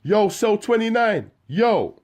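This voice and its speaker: A man voicing a young male London inmate who shouts brashly through a prison cell window, with London street slang. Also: sits high, expressive, slow, pitched above the performer's own voice. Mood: shouting, brash.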